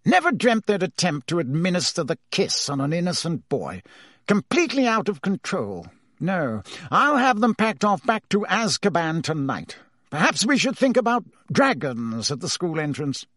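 A man's voice: sits high, very animated, moderate pace, a bit bright, no echo, studio quality, pitched above the performer's own voice, heavily acted. A man voicing a blustering, fretful male government minister who barks and puffs. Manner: blustering and barking.

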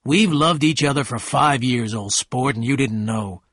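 A courteous gentleman lover from a storybook, voiced by a male narrator. He is quiet yet insistent, with a slightly husky tone and a dry, close sound. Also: mid-range voice, normal speed, dry recording, close to an everyday voice. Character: polite, quietly intense gentleman lover, adult man.

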